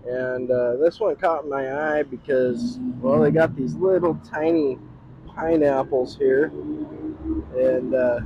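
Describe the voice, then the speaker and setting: A man's voice: mid-range voice, fairly even intonation, slow, muffled recording. A middle-aged man giving casual, enthusiastic, plain-spoken food commentary as the host of a vlog. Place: outdoors on a city street at night. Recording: clear street noise with passing vehicles.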